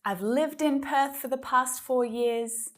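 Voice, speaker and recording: A woman teaching English, speaking clearly and slowing down to model example phrases. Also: sits high, soft background music. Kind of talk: English lesson to camera.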